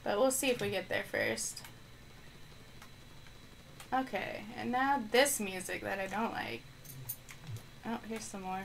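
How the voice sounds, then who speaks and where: A woman's voice: mid-range voice, expressive, slow, gravelly, long pauses. Woman, game streamer, casual live commentary, chatty, thinking aloud, mid-height voice, mic on the floor, faint background hiss. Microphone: microphone on the floor.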